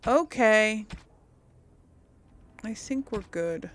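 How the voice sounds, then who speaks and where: A woman's voice: medium pitch, lively intonation, drawn-out words, no echo. A woman giving casual, chatty gameplay commentary as host, musing aloud and often trailing off. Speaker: gaming video host.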